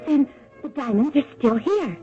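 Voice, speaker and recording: A woman's voice: sits high, animated, moderate pace, mellow. An actress playing a naive, romantic woman in an old radio drama, earnest and full of questions. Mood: astonished. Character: naive, romantic woman, curious and astonished companion. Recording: dull vintage radio recording, music behind.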